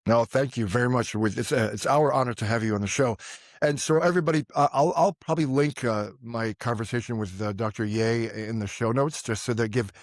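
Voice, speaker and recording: A middle-aged man hosts a medical podcast in a mid-range voice. He speaks haltingly, stopping to restart his sentences. The sound is dry and close to the microphone. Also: mid-range voice, no echo. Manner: halting, many fillers and restarts, warm praise. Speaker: podcast host, physician. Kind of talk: interview conversation.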